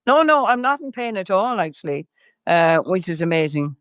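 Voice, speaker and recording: A woman's voice: fairly low pitch, big pitch swings, moderate pace, fairly bright tone, no echo, clean studio sound. An older woman from Ireland, a singer interviewed as a guest, talking in a rambling way with many fillers and restarts while chewing gum. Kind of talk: casual interview chat.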